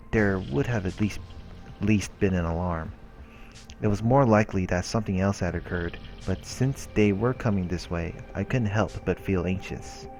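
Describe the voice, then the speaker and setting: A man hosting a let's play, reading visual novel narration aloud in a measured way. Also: low-pitched, a little mellow. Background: game background music.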